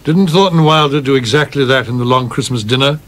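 An actor playing a cautious, polite, educated film producer in a radio play, measured and proper. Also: male voice, medium pitch, fairly bright tone, lightly acted.

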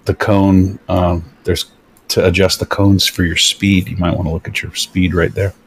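A man's voice: low-pitched, little pitch movement, moderate pace, husky. A man joining a casual group voice chat, chatty and chuckling as he tells stories.

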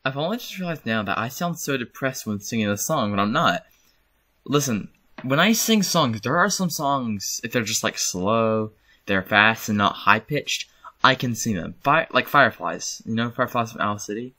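A man's voice: medium pitch, expressive, moderate pace, pushed and bright. A young man casually commenting on his own channel's videos while screen-recording, rambling and correcting himself. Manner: rambling, self-correcting, chuckles. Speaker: channel host.

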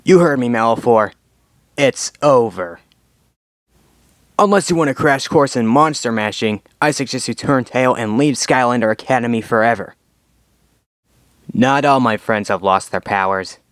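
Young male cartoon dragon hero, performed by a man: confident, earnest team leader, mid-pitched, very dry close sound. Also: moderate pace, pauses often, no echo, lightly acted. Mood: defiant. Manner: earnest, commanding, rallying. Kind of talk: scripted cartoon fandub audition lines.

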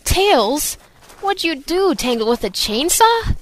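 A woman voicing an anxious, excitable young female cartoon animal in an amateur radio drama. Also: sits high, takes it slowly, slight background noise, full character voice. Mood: alarmed.

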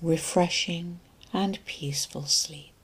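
Slow, low, breathy woman guiding sleep hypnosis. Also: steady tone. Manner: slow, soothing, deliberate delivery with long pauses. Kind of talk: guided relaxation and sleep hypnosis narration.

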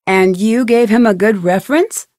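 A woman playing a young, inquisitive and determined female amateur detective in a video game, speaking her scripted lines clearly. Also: medium pitch, normal speed, darkish tone, no echo, clean studio sound, close to an everyday voice. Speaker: voice actress in a detective adventure game.

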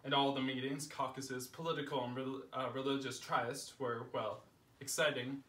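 A young man reading a humorous essay aloud in a projected, deliberate, mock self-important way. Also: medium pitch, flat delivery, fairly bright tone. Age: young adult. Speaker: reader at a home literary salon. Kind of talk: live reading of a humorous first-person essay. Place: living room, indoors, small seated audience. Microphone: distant camera microphone. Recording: roomy echo, distant camera mic, faint noise.

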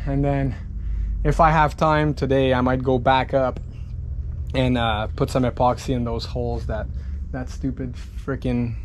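A man's voice: mid-range voice, flat delivery, a little slow, noisy background. A Canadian man in his thirties with a laid-back, slangy, sweary way of talking, chatting casually to camera as a sailing vlogger. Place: outdoors in a boat yard among hauled-out boats.

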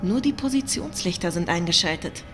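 An actress playing an observant spaceship crew officer in a sci-fi audio drama. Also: female voice, on the low side, expressive, brisk, over music, natural voice. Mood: uneasy, attentive.

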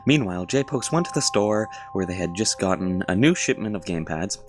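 A man's voice: low voice, animated, brisk. A man reading a comic story with wry, mocking asides, over light music. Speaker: reader.

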